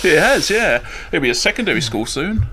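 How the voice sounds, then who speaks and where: A man's voice: on the high side, sing-song, a little fast, sharp tone, a little hiss or hum. A man speaking as a guest musician in casual chat, rambling and informal in a colloquial way.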